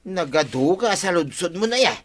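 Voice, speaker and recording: A man's voice: high-pitched, lively intonation, normal speed, a bit bright. A man playing a defensive, wily village watchman husband with an Ilocano accent, arguing and protesting in a radio comedy drama. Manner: protesting, argumentative.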